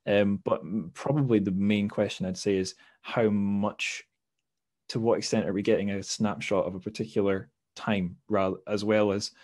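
A man's voice: fairly low pitch, little pitch movement, normal speed, a little mellow, no echo, clean studio sound. A man hosting a podcast interview, reading long, thoughtful questions with many restarts and self-corrections. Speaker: podcast host.

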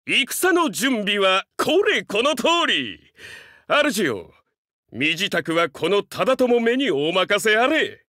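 A young dog-man samurai from a video game, fiercely loyal and earnest. His voice is high for a male and forceful, swinging widely in pitch. He speaks in formal, archaic retainer's language with dramatic fervor. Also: very animated, slow pace, dry recording, clean studio sound, exaggerated voice. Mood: courteous, devoted. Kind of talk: Japanese game voice acting, archaic samurai speech.

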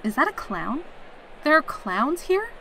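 A woman's voice: sits high, big pitch swings, unhurried, sharp tone, slight background noise, heavily acted. A woman voicing a carefree, teasing female pegasus soldier in a fan audio drama. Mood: casual, breezy. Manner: breezy, teasing, cheerful.

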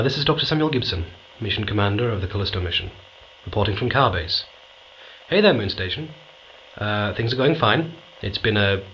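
An actor playing an easygoing mission commander in a science fiction audio drama, speaking informally and with some hesitation. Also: male voice, lowish voice, a bit bright, lo-fi sound, a little hiss or hum. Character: easygoing male mission commander of a space crew.

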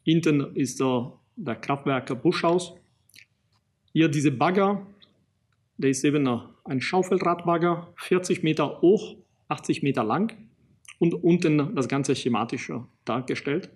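A middle-aged man from Italy with an Italian accent, giving a public lecture as an archaeologist, pausing often with fillers and restarting sentences. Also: fairly high pitch, a little mellow, husky. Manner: halting, many fillers and restarts. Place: small studio in front of a banner.

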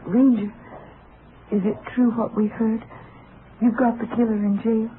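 Actress as an earnest young fiancée, old radio. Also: female voice, a little high, flat delivery, a little slow, lightly acted. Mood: earnest, pleading. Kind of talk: 1950s radio drama acting. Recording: noisy, narrow vintage radio recording.

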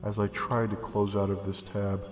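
A young man giving a deadpan, deliberate reading of a comedic horror story as narrator. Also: lowish voice. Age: young adult. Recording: long reverb, music behind. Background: music.

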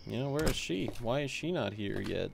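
A man's voice: medium pitch, lively intonation, normal speed, creaky, noisy background. A man giving casual commentary on a game he is playing, rambling as he thinks aloud. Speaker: gaming video host. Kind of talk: casual playthrough commentary mixed with reading game text aloud.

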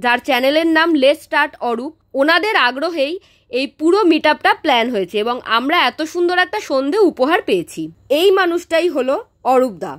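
A woman, hosting a casual travel vlog with chatty excitement, filmed at arm's length. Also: high voice, a little fast. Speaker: vlogger host. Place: home room, then busy indoor cafe. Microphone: handheld camera at arm's length.

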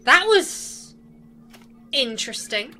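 A woman's voice: high-pitched, sing-song, takes it slowly, sharp tone, faint music behind, exaggerated voice. A woman playing a bold, sceptical young companion with a cheeky, direct manner in a science fiction audio drama.

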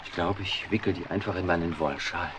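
A man's voice: sits low, fairly quick, background noise, natural voice. An actor playing a middle-aged, kindly but flustered male writer in an old radio play, bumbling and talking to himself. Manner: bumbling, talks to himself, lively. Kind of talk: 1970s radio play acting.

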